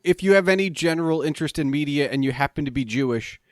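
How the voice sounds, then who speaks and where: Man hosting a podcast, rambling, halting, fairly high voice, dry sound. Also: no room sound. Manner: rambling, halting, many restarts and fillers. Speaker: podcast host. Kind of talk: casual interview conversation.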